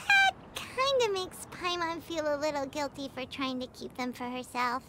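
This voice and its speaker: Squeaky, sky-high childlike fairy guide. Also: female voice, measured pace, very noisy, exaggerated voice. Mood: sheepish. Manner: chatty, excitable, refers to herself in third person. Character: tiny bubbly childlike female fairy guide, chatty and cheeky. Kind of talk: animated video game voice acting.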